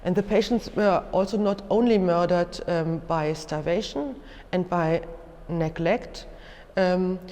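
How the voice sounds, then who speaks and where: Woman lecturer, German accent, slow halting delivery, careful explanations, long hall reverb. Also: lowish voice, dark tone. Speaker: museum lecturer. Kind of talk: educational lecture, unscripted explanation.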